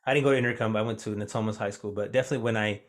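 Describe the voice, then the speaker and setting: A man hosting a relaxed podcast conversation, rattling along at a brisk clip. He grew up speaking Spanish first and now teaches it. His questions wander with plenty of fillers and restarts. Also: on the low side. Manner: rambling, many fillers and restarts. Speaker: podcast host. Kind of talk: casual interview chat.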